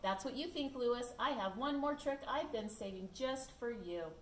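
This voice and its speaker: A woman voicing an eager, put-upon schoolboy prankster in a storybook, expressive and exclaiming. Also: a little high, moderate pace, close to an everyday voice. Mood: sly, coaxing. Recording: echoey room, distant mic, background noise.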